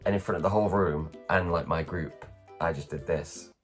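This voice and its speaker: A man in his thirties from England, telling self-deprecating stories in a casual morning vlog, his voice low and worn after a late night. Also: normal speed, soft background music. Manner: chatty, self-deprecating, acts out others' lines. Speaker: vlogger, performer. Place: at home.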